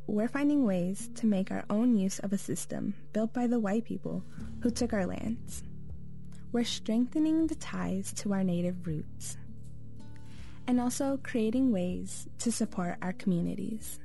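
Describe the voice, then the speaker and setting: A woman hosting and narrating a radio documentary in a calm, measured storytelling voice. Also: medium pitch, vocal fry, faint music behind. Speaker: host and narrator.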